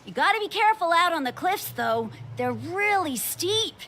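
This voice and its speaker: Sharp, very high excitable kid. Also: female voice, normal speed, slight background noise, full character voice. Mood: excited, cautioning. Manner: childlike, emphatic. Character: blunt, excitable young child, friend on an adventure. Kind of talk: video game voice acting.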